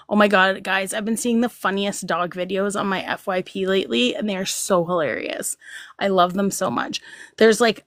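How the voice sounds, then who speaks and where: A woman's voice: medium pitch, a bit bright, no room sound, clean studio sound. A woman hosting a casual livestream, rambling, laughing a lot and reading out viewers' comments. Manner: giggly, rambling, reads chat aloud. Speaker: livestream host.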